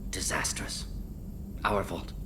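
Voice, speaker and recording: Clipped, restless alien scientist. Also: male voice, bright, forceful tone, whispered. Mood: critical, intense. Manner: clipped telegraphic phrasing, rapid-fire listing, earnest. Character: brisk, brilliant, restless male alien scientist. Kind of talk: video game dialogue.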